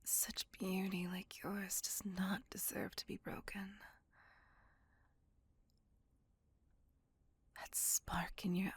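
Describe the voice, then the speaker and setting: A woman voicing a gentle, remorseful immortal vampire in an ASMR roleplay, speaking tenderly and intimately in a breathy whisper. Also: mid-range voice, dry recording, full character voice. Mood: tender, adoring. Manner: slow, tender, earnest confiding.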